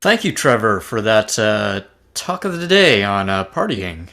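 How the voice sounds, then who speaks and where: A man voicing an earnest, cheerful ghost who runs the group's activities. The voice is forward and bright on a slightly low pitch, polite and a little halting, as at a table read. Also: sing-song, creaky, full character voice. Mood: earnest announcing. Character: earnest, cheerful scout-leader type ghost organizing activities.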